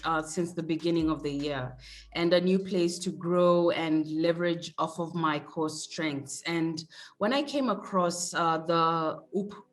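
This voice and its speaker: A woman in her thirties from Kenya, chatting relaxed and easily as a guest on a video call interview. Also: lowish voice.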